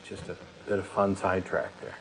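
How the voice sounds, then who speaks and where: Low-voiced man in earnest discussion, noisy recording. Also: flat delivery, brisk. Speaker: co-host of a talk show. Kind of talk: conversational discussion, personal reflection. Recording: clear background noise, room ambience.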